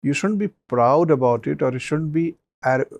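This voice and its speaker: Gravelly man giving interview feedback. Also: on the high side, no room sound. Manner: advising, measured, many fillers. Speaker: mock interview panelist.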